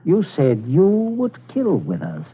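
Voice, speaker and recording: A man's voice: on the high side, slow, husky, heavily acted. A cold, menacing armed father. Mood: menacing calm. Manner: calm, clipped, coldly threatening. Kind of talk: 1940s radio acting. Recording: tinny old radio broadcast.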